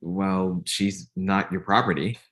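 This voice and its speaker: A man acts a coolly antagonistic friend in a comedy table read. The voice is low, and every line comes out blunt and condescending, in a naturalistic style. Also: steady tone, slow, fairly bright tone. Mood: cool, dismissive. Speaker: actor in a screenplay table read.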